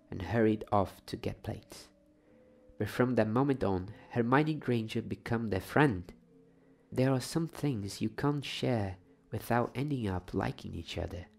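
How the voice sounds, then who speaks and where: A man reading a bedtime story in a soft-spoken, unhurried ASMR style. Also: lowish voice, creaky. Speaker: host of an ASMR story channel.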